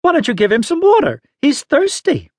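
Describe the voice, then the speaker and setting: Storybook old lady, played by a male reader: pitched well up, tart, bossy, quick to scold. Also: wildly swooping pitch, dry recording, studio quality, exaggerated voice. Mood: brisk, bossy. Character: sharp-tongued, bossy, indignant little old lady. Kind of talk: storybook character voice.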